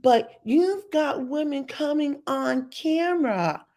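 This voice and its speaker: A woman's voice: animated, slow pace, a little mellow. Sassy, opinionated middle-aged woman. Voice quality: deep voice by her own account. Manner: sassy, emphatic, mocks others in put-on voices. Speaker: YouTube commentator. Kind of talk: opinionated commentary monologue.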